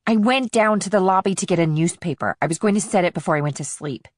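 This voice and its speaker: A defiant, streetwise teenage girl, acted by a woman in an audiobook thriller. Her voice sits on the low side and is recorded dry and close. She talks back with flippant sarcasm and keeps her guard up. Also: fast talker, dry recording, close to an everyday voice. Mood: sarcastic. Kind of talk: audiobook character dialogue.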